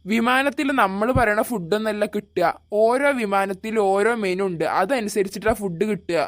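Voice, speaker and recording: A performer voicing a calm, practical father in a comedy cartoon, giving matter-of-fact instructions. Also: mid-range voice, moderate pace, no echo, close to an everyday voice. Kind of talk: Malayalam comedy cartoon dialogue.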